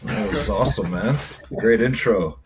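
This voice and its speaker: A man's voice: lowish voice, moderate pace, a little hiss or hum. A man talking informally and a little hesitantly in a casual roundtable chat. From Calgary, Canada. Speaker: roundtable guest, IT technician. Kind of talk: casual chat, self-introduction.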